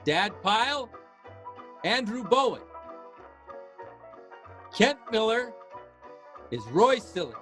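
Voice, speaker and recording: A man's voice: sits high, fairly bright tone. A man reading scripted credits as an announcer.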